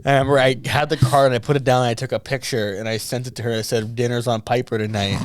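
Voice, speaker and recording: A man's voice: mid-range voice, fairly even intonation, fast, dry recording. Man, podcast co-host, casual rambling chat, laughs a lot, dry close mic. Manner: chatty, laughs often, rambling restarts.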